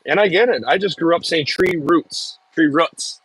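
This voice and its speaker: A man's voice: fairly high pitch, a bit bright, husky, dry recording, low-quality recording. A man with a Michigan accent, hosting a casual livestream and rambling as he reads and answers viewers' chat messages. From Michigan, US Midwest. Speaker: livestream host. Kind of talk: casual live-stream chat.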